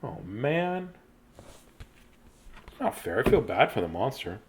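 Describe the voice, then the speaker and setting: A man in his fifties reads children's bedtime picture books in an animated, playful, childlike way, with chatty asides. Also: mid-range voice, takes it slowly, slight background noise. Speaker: children's storyteller. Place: small decorated room indoors.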